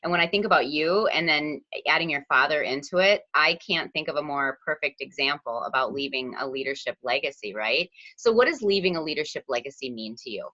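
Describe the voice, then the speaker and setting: A woman's voice: sits low, lively intonation, brisk, few pauses, bright, forceful tone, muffled recording. A woman hosting a podcast interview, enthusiastic and effusive with her guest. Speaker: podcast host.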